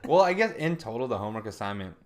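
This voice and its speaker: A man's voice: medium pitch, very animated, racing. A man in his twenties chatting casually as a podcast co-host, laid-back and self-deprecating. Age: 20s. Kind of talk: casual chat.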